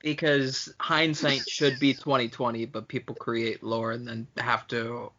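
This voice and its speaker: Bright, forceful man on a podcast. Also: medium pitch, flat delivery, a little slow, highs cut off. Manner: opinionated, sweary, mock-grandiose at times. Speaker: podcast co-host. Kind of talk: casual film-fan banter and ranting.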